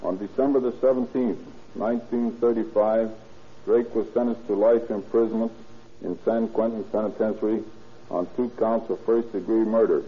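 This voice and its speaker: Actor as formal police chief, tinny old radio. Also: male voice, lowish voice, steady tone, normal speed, a little hiss or hum, lightly acted. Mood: solemn, official. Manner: formal, official. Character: formal male police chief narrating a case. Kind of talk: scripted narration, 1930s radio. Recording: tinny 1930s radio recording.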